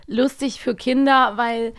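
A woman's voice: a little high, little pitch movement, a little slow, a bit bright, clear, resonant tone. A woman hosting a podcast, chatting casually and enthusiastically. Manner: enthusiastic, gushing. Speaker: podcast host. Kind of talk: casual chat.

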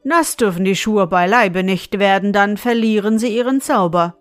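A woman's voice: medium pitch, moderate pace, no echo, faint music behind, lightly acted. A woman voicing a kindly, reassuring male wizard who speaks solemnly and instructively in a fairy-tale reading. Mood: instructing. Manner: solemn, instructing, chanting rhymed spells.

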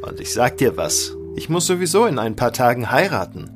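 An actor playing a confident, carefree elder prince in a fairy-tale radio play, self-assured and unhurried. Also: male voice, medium pitch, very animated, over music.

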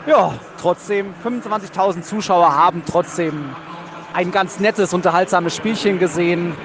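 A man's voice: sits high, normal speed. A man hosting a podcast, giving live football commentary from the stands. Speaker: podcast host. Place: outdoors in a football stadium. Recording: loud stadium crowd and noise. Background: crowd noise and applause.

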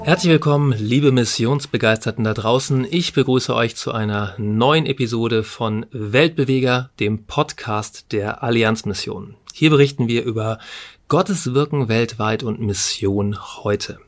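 A man hosting a studio podcast, welcoming listeners and asking his guests questions in a friendly, lively way. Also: medium pitch, unhurried. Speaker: podcast host. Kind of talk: podcast interview.

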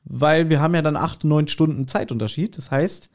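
A man's voice: a little high, normal speed, darkish tone. A man chatting casually as a co-host on a podcast.

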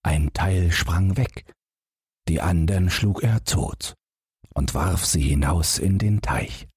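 A man narrating a fairy tale as an audiobook, measured and dramatic in his expressive storytelling. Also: low voice, slightly rough, no room sound, studio quality.

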